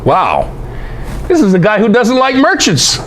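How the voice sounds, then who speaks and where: A man teaches scripture like a lively lecturer, in a high voice that swings widely in pitch. He is emphatic and conversational, posing rhetorical questions and dropping folksy asides. Noticeable noise sits behind him. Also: a little slow, low-quality recording. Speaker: presenter and Bible teacher. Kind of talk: animated lecture on scripture scholarship.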